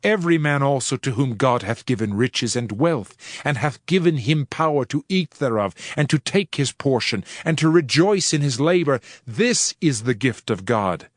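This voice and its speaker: A man giving a dramatized scripture reading with a solemn, measured delivery. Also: medium pitch, animated, dry recording, clean studio sound. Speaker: scripture reader. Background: faint background music.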